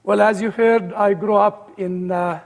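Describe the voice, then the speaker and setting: A man's voice: high voice, fairly even intonation, unhurried, gravelly, big-room sound. A man with an Egyptian-British accent, an older guest speaker giving his personal testimony with dry humor.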